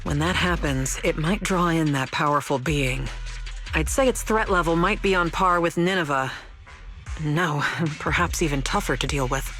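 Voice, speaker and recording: A woman voicing a composed, analytical strategist in game story dialogue, explaining things in a measured, scholarly way. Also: low voice, a bit bright, soft background music. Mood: calm, confident.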